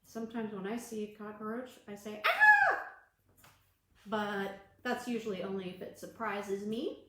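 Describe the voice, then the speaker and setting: A woman librarian reading a storybook aloud to children on a livestream, gentle and encouraging. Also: mid-range voice, fairly even intonation, normal speed, noisy background. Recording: echoey room.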